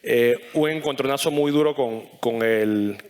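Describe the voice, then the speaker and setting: A man from Panama with a Panamanian accent, telling his personal story haltingly as a conference panelist. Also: medium pitch. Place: conference stage in a hall. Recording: long hall reverb.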